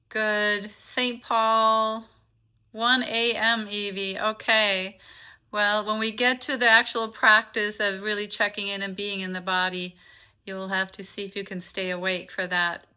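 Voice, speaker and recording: A woman's voice: mid-range voice, flat delivery, slow, pushed and bright, smooth. A woman from Denmark, a native Danish speaker, hosting an informal live online session as a meditation teacher, welcoming attendees and explaining things to them directly. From Copenhagen, Denmark.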